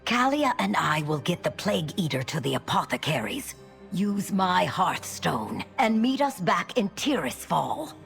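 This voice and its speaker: An actress playing a weary but defiant undead woman leader in a video game cutscene, deliberate and dramatic. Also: fairly low pitch, very animated, creaky, full character voice. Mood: firm, resolute. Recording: loud music behind the voice. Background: loud music.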